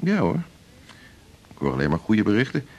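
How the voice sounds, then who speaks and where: Husky, animated senior male doctor. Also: on the low side, moderate pace, muffled recording. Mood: firm, placating. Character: senior male doctor, brisk, jovial, patronising boss. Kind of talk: naturalistic Dutch radio drama dialogue.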